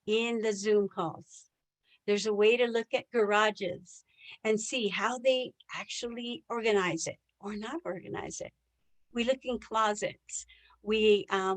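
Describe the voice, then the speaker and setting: A woman's voice: fairly high pitch, a bit bright, rough recording. A woman presenting a live online sales training as a trainer, a native English speaker who often puts questions to her listeners. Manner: engages listeners with rhetorical questions.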